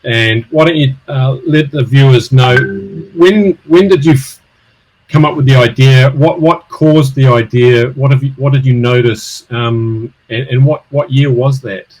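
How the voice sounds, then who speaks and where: A man's voice: medium pitch, measured pace, a little mellow, a little hiss or hum, low-quality recording. A middle-aged man hosting an interview podcast, often hesitating and repeating words as he asks questions. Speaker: podcast host.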